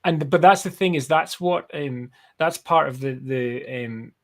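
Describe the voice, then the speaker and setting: A man's voice: on the high side, unhurried. A man with a Scottish accent giving explanations in a casual, rambling, conversational interview style. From Scotland. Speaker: guest, brand strategist and designer. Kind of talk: casual live interview chat.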